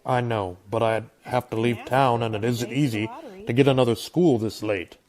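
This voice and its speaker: Man playing a resigned, mid-pitched village schoolteacher. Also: steady tone, moderate pace, a little mellow, lightly acted. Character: resigned village schoolteacher, rule-abiding outsider. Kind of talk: radio drama acting.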